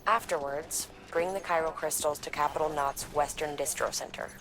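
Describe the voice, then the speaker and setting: An actress playing an earnest scientist in a video game, explaining a mission in a conversational way. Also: female voice, sits low, steady tone, normal speed, over music, poor audio. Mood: businesslike. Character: earnest scientist woman briefing a courier on experimental weapons.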